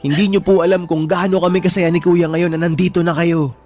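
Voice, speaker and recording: A man's voice: high-pitched, little pitch movement, fairly quick, a little mellow, faint music behind, close to an everyday voice. A man playing an affectionate, boyish younger brother in a Filipino radio drama, earnest, with a Filipino accent. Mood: reassuring.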